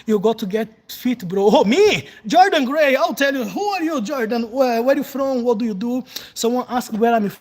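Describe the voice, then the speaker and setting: A man's voice: very high-pitched, expressive, moderate pace, a little mellow. A man in his forties from Brazil with a Brazilian Portuguese accent, chatting casually on a livestream, telling stories and answering viewer comments, recorded on a phone set on the floor of a large warehouse. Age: 40s. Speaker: vlogger. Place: large open warehouse, indoors. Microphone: phone camera set on the floor.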